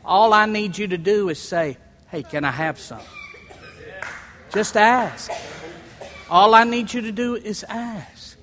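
Preacher man, high voice, shouts then goes quiet, jokey asides, big reverby hall. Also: slow pace, pauses often. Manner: builds to shouting crescendos, then drops low; folksy, humorous asides. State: sweating and worked up. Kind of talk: sermon.

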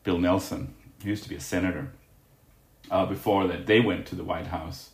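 Deep voice guy from denmark, lots of restarts, echoey room. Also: steady tone. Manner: halting, restarts with fillers. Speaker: guest scientist. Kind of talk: interview answers.

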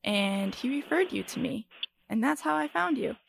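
A woman's voice: high-pitched, animated, moderate pace, vocal fry, heavy background noise. A young woman hosting her podcast in a chatty, upbeat way, introducing a guest. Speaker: podcast host.

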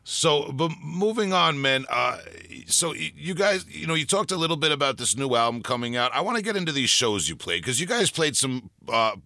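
A man from the United States hosts a casual interview in a loose, friendly way, with a bright, sharp voice. The recording is dry and close. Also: on the high side, animated, moderate pace, vocal fry, few pauses, no room sound. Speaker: podcast host and vocalist.

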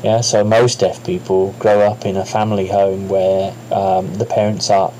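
A man giving unscripted interview answers as an expert, halting and restarting often. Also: deep voice, flat delivery, mellow, slight background noise, rough recording.

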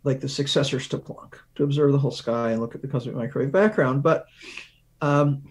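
A man being interviewed as a research physicist, explaining ideas through examples with thoughtful pauses. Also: mid-range voice, a little mellow.

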